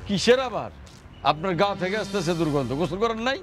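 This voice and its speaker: A man's voice: high voice, animated, fast talker, music in the background. A man acting a newly rich, contemptuous father-in-law in a family drama, with a Bangladeshi accent. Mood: scornful. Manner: mocking, contemptuous, needling.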